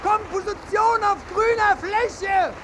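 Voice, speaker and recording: An actor playing a detached, unhelpful observer calling out matter-of-factly in a 1950s German radio drama. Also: male voice, extremely high pitch, steady tone, slow. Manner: calling out loudly, matter-of-fact. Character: detached, unhelpful male neutral observer standing in a river. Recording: noisy old radio recording, water sounds. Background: splashing river water.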